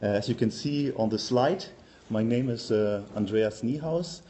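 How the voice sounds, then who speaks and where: A man's voice: medium pitch, a little slow, mellow. A middle-aged man with a German accent giving an academic workshop presentation, hesitant and full of ums and uhs. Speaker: university lecturer.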